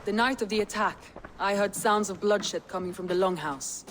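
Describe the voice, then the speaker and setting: A woman voicing a proud, wary Viking clan leader in a video game, with deliberate, solemn delivery. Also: mid-range voice, a bit bright, slight background noise, lightly acted. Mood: sombre. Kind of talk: cinematic video game acting.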